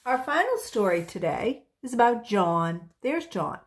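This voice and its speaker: A woman reading a storybook aloud to children as a volunteer, pausing to explain things to young listeners. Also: a little high, sing-song.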